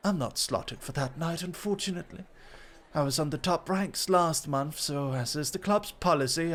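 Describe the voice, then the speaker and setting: A man playing a flirty, teasing club host and rival, theatrically polite and suggestive, in an ASMR roleplay. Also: fairly high pitch. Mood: playful, boastful.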